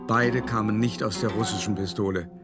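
Actor as deep-voiced older art dealer, wryly reminiscing. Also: male voice, fairly even intonation, loud music behind the voice, natural voice. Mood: tense recounting. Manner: measured, dramatic, cultivated raconteur. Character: elderly male art dealer recounting his escapes, wry, weary. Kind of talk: radio play monologue, storytelling.

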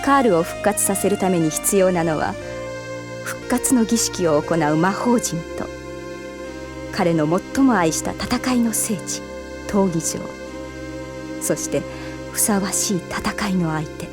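An actress playing a devoted, otherworldly woman who narrates an audio drama in a measured, solemn, formal manner. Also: lowish voice, lively intonation. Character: devoted otherworldly female narrator set on resurrecting a fallen swordsman. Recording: music bed behind the voice.

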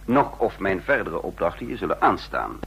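An actor playing a cold, calculating intelligence chief who speaks formally and deliberately in a radio drama. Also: male voice, low voice, big pitch swings, vocal fry, fairly bright tone, slightly rough, full character voice, low-quality recording. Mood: cold, commanding. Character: cold calculating intelligence chief, narrating his own downfall. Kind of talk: radio drama acting and first-person narration. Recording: vintage radio play with faint hum.

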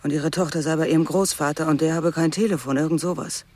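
Husky, anxious mother. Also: deep voice, steady tone, fast talker, a little mellow. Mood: guarded. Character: anxious, stubborn mother pleading for help. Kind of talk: naturalistic radio drama dialogue.

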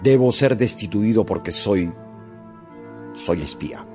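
An actor playing a rigid, law-obsessed police inspector in a radio drama, formal, deliberate and brooding. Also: male voice, fairly low pitch, expressive, darkish tone, faint music behind. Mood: formal, stern.

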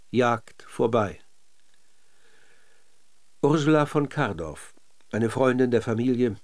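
A man narrating scripted documentary radio in a measured, factual manner. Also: medium pitch.

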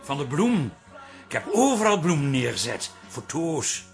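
An actor playing a jealous, flustered middle-aged husband, stammering and exasperated in a comic radio play. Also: male voice, a little high, sing-song, normal speed, vocal fry, faint music behind. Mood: sheepish, hopeful.

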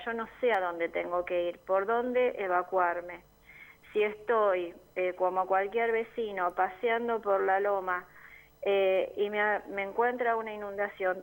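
A woman's voice: mid-range voice, fairly even intonation, measured pace, low-quality recording. Argentine woman, mid-range voice, earnest, explanatory, radio interview guest. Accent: Argentine Spanish. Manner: earnest, explanatory, with frequent fillers. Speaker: neighborhood assembly member, guest. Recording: community radio studio.

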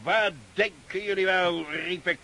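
An actor in a Dutch radio play playing a rough, cheeky Cossack scout who tells boastful tales with feigned innocence. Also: male voice, high voice, fairly even intonation, unhurried, bright, forceful tone, heavily acted. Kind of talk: Dutch radio drama acting.

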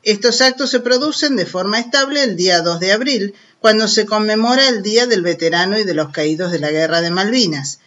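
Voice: mid-range voice, fairly quick, few pauses, fairly bright tone, lo-fi sound. An Argentine radio host with an explanatory, didactic way of talking, addressing young listeners directly. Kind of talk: children's radio programme, explanatory narration.

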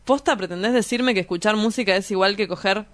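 A woman's voice: medium pitch, quick pace, lightly acted. A woman with an Argentine accent playing a reckless, cynical young male drug dealer in a radio drama, slangy, teasing and insolent. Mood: provocative, teasing. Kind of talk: scripted radio drama acting.

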